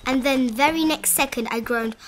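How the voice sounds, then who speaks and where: A woman reading a children's storybook aloud in an expressive, dramatizing manner. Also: high voice, normal speed, a little hiss or hum. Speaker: read-aloud narrator of children's picture books.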